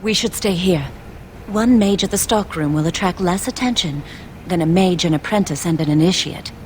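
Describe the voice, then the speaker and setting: A woman playing a devout, quietly determined young initiate in a video game, solemn and measured. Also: lowish voice, a little hiss or hum, lightly acted. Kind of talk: scripted video game dialogue.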